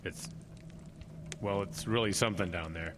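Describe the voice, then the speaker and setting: A man's voice: deep voice, moderate pace, close to an everyday voice. An actor playing a grave, suspicious man in an audio drama, probing and serious. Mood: grave, unsettled. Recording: radio drama with storm ambience noise.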